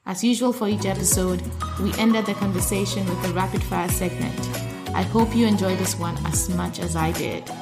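A woman hosting a food podcast, reading a scripted intro smoothly and then chatting with her guest. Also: mid-range voice, fairly even intonation, moderate pace, music in the background. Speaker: podcast host. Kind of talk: scripted intro and casual interview.

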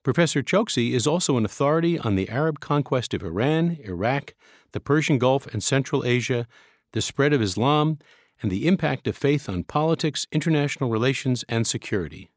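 A man hosting an interview podcast, speaking in a measured, formal way as he introduces his guest. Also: medium pitch, lively intonation, dry recording, studio quality. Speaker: podcast host.

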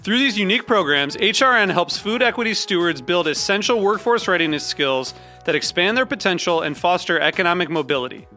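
Man, high voice, bright tone, scripted fundraising read, faint music behind. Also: expressive, a little fast. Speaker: promo announcer.

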